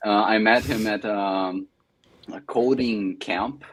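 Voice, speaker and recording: Young man, deep voice, friendly and modest, video call. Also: fairly even intonation, slow pace, fairly bright tone, husky, poor audio. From Brazil. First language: Portuguese. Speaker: guest developer.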